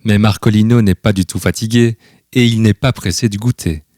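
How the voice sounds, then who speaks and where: A male storyteller reads a scripted children's tale in a fairly low voice. The recording is very dry, with light music playing behind him. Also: fairly even intonation, normal speed, darkish tone, no echo. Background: instrumental music.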